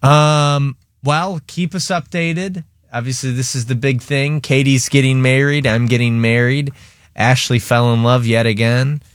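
A man with an American accent hosting a radio show with casual, joking, excitable banter. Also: mid-range voice, a little slow, no room sound, studio quality.

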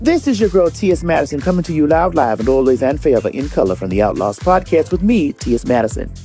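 A woman's voice: low voice, very animated, fast talker, mellow, soft background music. A podcast host delivering a sassy, showy promo for her show.

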